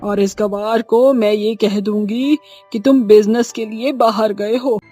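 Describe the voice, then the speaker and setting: A woman's voice: on the high side, steady tone, unhurried, dark tone, faint music behind, close to an everyday voice. A woman playing a scheming, placating middle-aged mother-in-law with a coaxing, dramatic delivery in a cartoon story.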